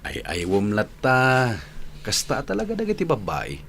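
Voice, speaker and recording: A man's voice: mid-range voice, wildly swooping pitch, normal speed, gravelly, fairly bright tone, slight background noise, exaggerated voice. An actor playing a teasing male workmate who gives friendly advice in a radio drama, with an Ilocano accent. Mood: advising, friendly. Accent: Northern Philippine Ilocano.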